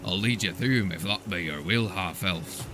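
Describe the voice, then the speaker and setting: A man voicing a rustic, steady bearded dwarf miner in a fantasy audio story. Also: low voice, normal speed, music in the background. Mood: helpful.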